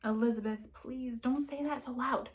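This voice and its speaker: A woman's voice: fairly high pitch, fairly even intonation, moderate pace, close to an everyday voice. Woman reading as an apologetic, sheepish boyfriend. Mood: defensive. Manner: hesitant, placating, earnest.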